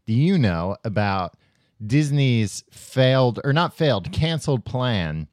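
A man's voice: mid-range voice, very animated, slow pace, darkish tone, dry recording, studio quality. A man co-hosting a comedy podcast, trading jokey banter and sometimes slipping into silly cartoon voices. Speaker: podcast co-host.

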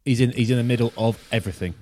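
A man co-hosting a casual sports podcast, trading dry, deadpan teasing banter. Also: medium pitch, rapid-fire. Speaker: podcast co-host.

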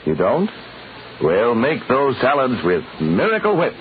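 A man's voice: mid-range voice, wildly swooping pitch, a little slow. A man announcing in 1940s radio style, reading advertising and story narration with polished, emphatic delivery. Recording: tinny, hissy old-time radio recording.

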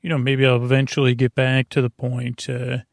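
A man with a creaky voice hosting a bedtime podcast, meandering and halting through a soothing monologue full of tangents and self-corrections. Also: medium pitch, completely flat delivery, no room sound, clean studio sound. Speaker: podcast host. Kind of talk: rambling bedtime monologue meant to lull listeners to sleep.

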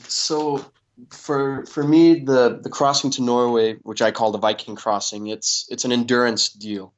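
A young man from Denmark answering interview questions in a relaxed way, with many fillers and restarts. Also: medium pitch, highs cut off. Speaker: interview guest, paddle athlete.

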